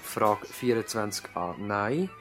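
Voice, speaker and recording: An actor playing an earnest, courteous doctor at a village practice in a radio play, with a Swiss German accent. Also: male voice, fairly low pitch, expressive, takes it slowly, faint music behind. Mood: businesslike, dictating. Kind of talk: radio play acting, dialogue and dictation. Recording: 1970s radio drama recording.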